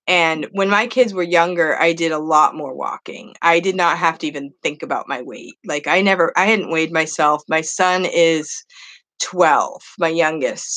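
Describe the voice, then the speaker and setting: A talkative woman in her fifties from New Hampshire rambles to her viewers, full of "um" and "you know" and quick laughs. Her voice sits a little low for a woman. She talks into a desk webcam in a small wood-paneled room at home. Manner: rambling and animated, laughs often, lots of fillers. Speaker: online English teacher and vlogger. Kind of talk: casual chatty vlog, talking to viewers.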